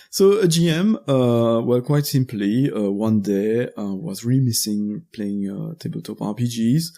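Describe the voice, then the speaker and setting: A man in his thirties with a Belgian French accent, a native French speaker chatting in English as a podcast guest, pausing often to search for words. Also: medium pitch, vocal fry, mellow. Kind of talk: casual conversational interview.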